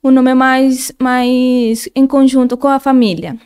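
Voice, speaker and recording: A woman's voice: high-pitched, flat delivery, slow pace, darkish tone, clean tone. A Colombian woman speaking with a Spanish accent as a guest, talking earnestly in casual conversation and restarting her phrases often. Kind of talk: casual interview chat.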